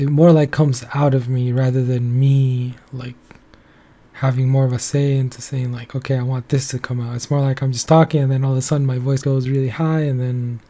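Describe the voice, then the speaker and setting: A man's voice: medium pitch, warm tone. A young man talking casually on his own, loosely and in a rambling way, with many filler words. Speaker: commentary channel host. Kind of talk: casual solo commentary.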